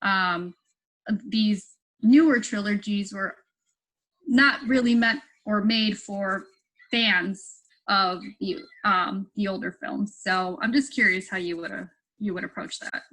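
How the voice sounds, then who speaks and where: A woman on a video call, casually and haltingly putting a question to a speaker. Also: medium pitch, fairly bright tone, low-quality recording. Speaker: audience member asking a question.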